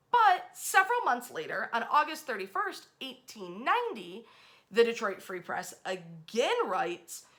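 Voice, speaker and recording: An American woman hosting a history storytelling series, speaking in a casual, conversational and emphatic way. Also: fairly high pitch. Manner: animated and emphatic, acts out short quotes.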